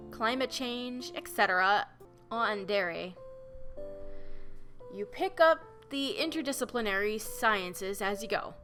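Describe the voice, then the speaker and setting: A polite, bookish young male geologist, voiced by a woman, lecturing in a measured and tidy way. The voice sits high and bright, with a touch of nasal stuffiness from a cold. Soft music plays faintly behind.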